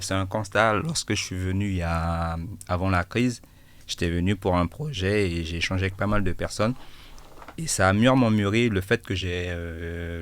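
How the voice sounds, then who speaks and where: A man from French Guiana speaking conversationally as a guest in a radio studio interview. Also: deep voice. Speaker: interview guest, former footballer.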